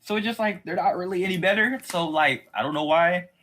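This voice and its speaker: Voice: medium pitch, brisk, a bit bright. A podcast co-host chatting casually with opinions, rambling and often qualifying points, sometimes acting out what others might say.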